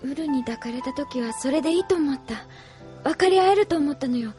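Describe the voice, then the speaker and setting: Troubled young woman, high voice, wistful, self-reproaching, emotional anime audio drama, music behind. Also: animated, a little fast, mellow. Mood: remorseful.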